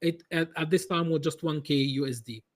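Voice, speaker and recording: A man answering interview questions in a halting way, with many restarts and repeated words. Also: fairly high pitch. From Egypt. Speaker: business consultant guest.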